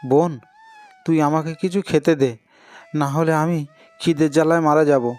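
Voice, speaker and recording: A man's voice: a little high, steady tone, normal speed, a little mellow, soft background music, natural voice. A man voicing a kind-hearted, hard-up elder brother in a cartoon, speaking in an earnest, pleading, emotional way. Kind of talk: cartoon morality tale dialogue.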